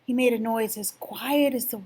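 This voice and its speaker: An older woman reading a children's picture book expressively, gentle and drawn-out, hushed in places. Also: a little high, husky. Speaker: storyteller.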